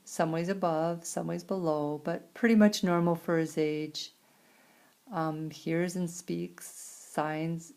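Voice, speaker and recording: A woman with an American accent and a creaky voice, interpreting a signed personal story aloud in halting phrases with pauses. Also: on the low side, steady tone, darkish tone. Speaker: sign language interpreter voicing a signer.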